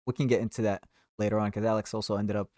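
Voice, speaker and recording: A man's voice: lowish voice, level tone, very fast, warm tone, no echo, studio quality. A young man co-hosting a podcast, chatting in a rambling, friendly way and steering the conversation. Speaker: podcast co-host. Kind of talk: casual chat.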